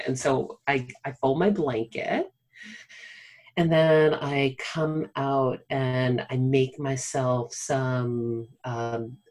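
Easygoing woman, medical director, chatty and upbeat, casual conversation. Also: very deep voice. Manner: chatty, encouraging, laughs easily, playful. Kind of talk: casual chat.

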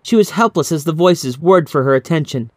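A man reading a scripted horror story in a measured, dramatic, suspenseful way, as an audiobook narrator. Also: a little high, lively intonation, a little mellow, no room sound, studio quality.

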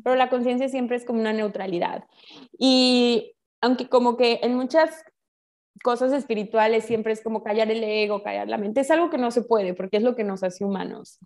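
A woman with a Mexican accent explains ideas in a casual, expressive, conversational way full of colloquial slang. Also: on the high side, normal speed. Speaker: guest explaining spiritual ideas.

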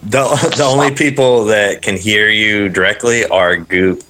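A man narrating a tabletop game as dungeon master, pausing often with fillers. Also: fairly low pitch, sharp tone, poor audio. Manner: hesitant, many fillers.

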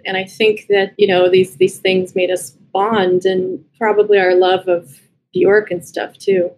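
A woman's voice: medium pitch, little pitch movement, measured pace, darkish tone, a little hiss or hum, low-quality recording. A woman in her thirties with an American accent, talking casually and reflectively as an interview guest. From United States and Brazil. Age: 30s. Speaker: podcast guest, writer and editor.

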